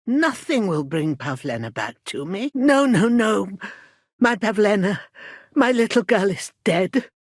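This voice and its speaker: An actress playing a middle-aged village midwife and protective mother in a dramatic video game, with a husky, breathy voice and an earnest, emotional delivery. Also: fairly low pitch, sing-song, no echo, clean studio sound, exaggerated voice. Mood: grieving, weary. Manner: earnest, emotional, deliberate phrasing.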